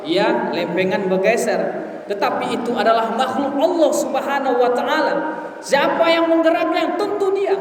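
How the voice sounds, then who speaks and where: A man delivering an impassioned, emphatic Friday sermon, exhorting listeners with rhetorical questions. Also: very high-pitched. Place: large reverberant mosque hall. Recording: very echoey hall, distant mic, hiss.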